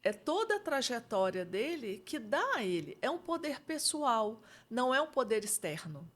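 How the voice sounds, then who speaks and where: A middle-aged woman with a Brazilian Portuguese accent talks through leadership ideas in an easy, reflective tone. Her voice sits a little higher than typical, and she often checks in with her listener as she explains. She sounds like an expert guest in a conversation. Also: very animated, normal speed, a bit bright. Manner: reflective, uses frequent "né?" and "entendeu?".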